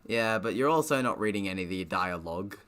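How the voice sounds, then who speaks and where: A man's voice: on the low side, expressive, fast, noisy background. A man giving casual live gaming commentary and reading a visual novel aloud, with a sweary, joking, giggly manner.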